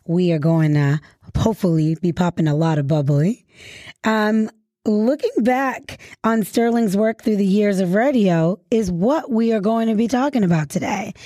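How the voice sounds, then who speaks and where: Slow-paced, effusive woman radio host. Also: mid-range voice, warm tone, dry recording, clean studio sound. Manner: effusive, admiring, informal. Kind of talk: casual, slangy celebratory interview.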